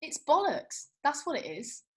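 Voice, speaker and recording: A woman's voice: sits high, wildly swooping pitch, measured pace, darkish tone, full character voice. An actress playing a blunt, indignant young British woman with colloquial, sweary speech in a comedy script read. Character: blunt, indignant young woman, film-loving Austen festival-goer.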